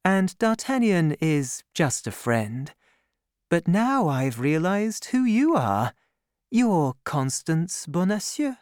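A scheming, charming lady who talks in a honeyed, measured voice, low and unhurried, her tone swooping to sound sincere, played by a woman reading a storybook. The delivery is close and dry. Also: very animated, a little mellow, no echo.

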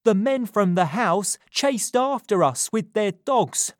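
A woman voicing a rough male thief who tells grim news bluntly. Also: medium pitch, lively intonation, slow pace, no room sound, clean studio sound, pitched above the performer's own voice.

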